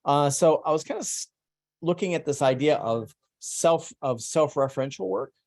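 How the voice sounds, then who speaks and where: A middle-aged man presenting his artwork at an online salon, speaking haltingly with many ums and restarts. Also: a little high, expressive, creaky, darkish tone. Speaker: presenting artist. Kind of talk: online salon artist talk.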